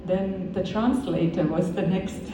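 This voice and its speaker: A woman whose first language is Estonian, a poet speaking slowly and dreamily, with many pauses, at a live reading. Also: lowish voice, background noise. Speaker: poet reading at a poetry night. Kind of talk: spoken introduction and poetry reading. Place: live event hall with handpan music. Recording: distant, reverberant, dull hall recording.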